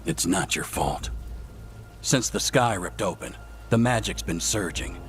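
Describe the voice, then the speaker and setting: A blunt elven ranger of ancient magic, low in pitch and gruff. He speaks like a game character, with faint music behind. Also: animated, measured pace. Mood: gruff, accusing. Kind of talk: video game cutscene acting.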